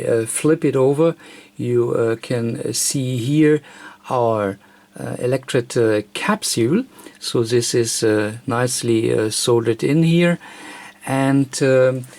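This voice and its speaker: Man, slow pace, gravelly fry, hesitant thinking aloud, hands-on technical tutorial. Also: medium pitch, darkish tone. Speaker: host of an electronics tutorial. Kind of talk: unscripted hands-on technical demonstration.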